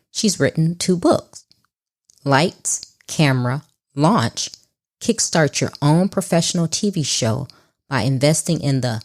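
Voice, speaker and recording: A woman hosting a podcast, reading a scripted intro and then chatting in an effusive, upbeat way. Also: sits low. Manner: warm and effusive, laughs easily. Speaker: podcast host.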